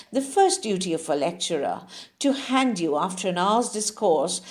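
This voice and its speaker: A woman giving an expressive literary audiobook reading, with measured, dramatic phrasing. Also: lowish voice. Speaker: audiobook reader.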